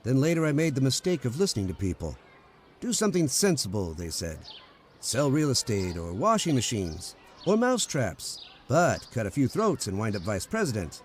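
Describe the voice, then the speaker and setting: A man's voice: mid-range voice, sing-song, moderate pace, a little hiss or hum, lightly acted. A man playing an easygoing, wry, folksy dreamer in an old-time radio romantic comedy. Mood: easygoing, reflective. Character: easygoing, wry male drifter dreaming of building boats.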